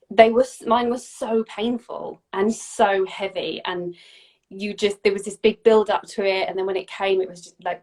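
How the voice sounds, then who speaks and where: A woman in her forties, a health practitioner, explaining things in a casual chat, often restarting and repeating words. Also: mid-range voice. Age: 40s. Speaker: functional medicine practitioner.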